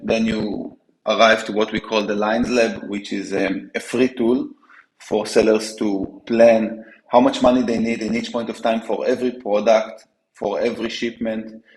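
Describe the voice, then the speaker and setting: Man with an Israeli accent, lowish voice, halting, lots of fillers, echoey room. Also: a little mellow. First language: Hebrew. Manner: halting, frequent fillers and restarts. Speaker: company co-founder guest. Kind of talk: business interview.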